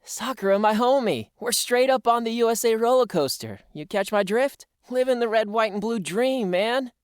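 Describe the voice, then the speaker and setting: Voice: medium pitch, lively intonation, normal speed, smooth, dry recording, clean studio sound, natural voice. An actor playing a friendly, slangy cartoon dude with a breezy, exuberant delivery. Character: slangy, hyped-up American dude stereotype, friendly and patriotic. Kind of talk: scripted character dialogue.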